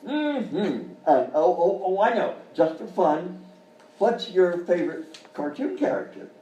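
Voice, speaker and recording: Guy doing a flustered kiddie show host, high swoopy voice, stammers a lot, fake cheery, live comedy sketch. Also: very animated, measured pace, dark tone, dull audio. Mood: cheery patter. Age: middle-aged or older. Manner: stammering, hemming and hawing, exaggerated. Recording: roomy live stage, distant mic, faint noise.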